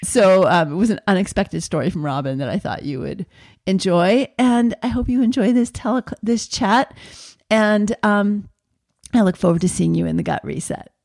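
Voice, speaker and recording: A woman's voice: medium pitch, normal speed, gravelly, darkish tone. A woman hosting a podcast, introducing her guest with enthusiastic, effusive praise. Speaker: podcast host.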